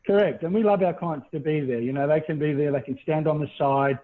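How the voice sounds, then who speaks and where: A man's voice: on the high side, brisk. A middle-aged Australian man giving a conversational webinar presentation as a property buyers agent over a video call. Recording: thin, phone-like video call audio.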